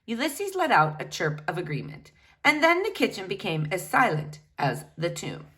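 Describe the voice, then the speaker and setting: A woman reading a children's novel aloud as expressive storytelling narration. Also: medium pitch, moderate pace. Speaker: storybook reader.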